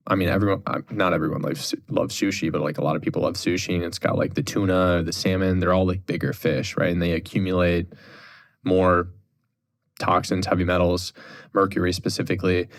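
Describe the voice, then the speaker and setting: A young man with a deep, low voice chats easily as a podcast guest. He talks loosely, leaning on "like" and "dude" as he rambles through his points. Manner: relaxed, filler-heavy, says "dude" and "like" often. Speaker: podcast guest, holistic health influencer. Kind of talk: casual chat.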